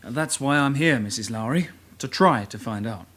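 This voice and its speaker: An actor playing a patient, polite but persistent police detective constable in a radio drama. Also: male voice, medium pitch, lively intonation, moderate pace, fairly bright tone. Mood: polite, probing. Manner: polite, persistent, hesitant lead-ins.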